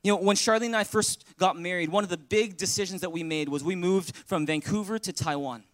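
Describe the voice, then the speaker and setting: High-voiced pastor preaching earnestly. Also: male voice, fairly quick, a bit bright. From Canada. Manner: earnest, conversational preaching with audience call-and-response. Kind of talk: sermon. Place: church sanctuary.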